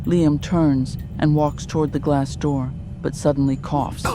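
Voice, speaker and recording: A narrator reading a scripted audio drama in a measured, descriptive storytelling manner. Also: medium pitch, little pitch movement, noisy background.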